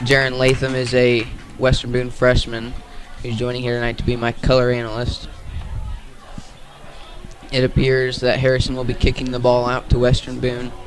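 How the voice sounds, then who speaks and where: An American man works as a play-by-play announcer on sports radio. His voice sits at a medium pitch and moves very little in tone. He delivers commentary in a measured, informational way. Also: monotone.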